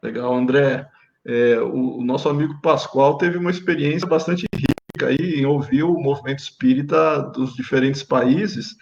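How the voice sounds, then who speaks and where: A man's voice: mid-range voice, normal speed, gravelly, a little mellow, muffled recording. A man hosting a live online conversation, prompting his guests in a friendly way. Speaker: host. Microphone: video call.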